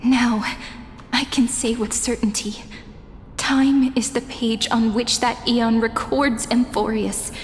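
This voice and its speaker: Young game heroine, teasing, gentle, slightly high, slow, long reverb. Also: female voice, steady tone, slight background noise. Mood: calm. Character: playful, wistful young heroine, female. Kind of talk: game cutscene voice acting. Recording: long reverb with faint music.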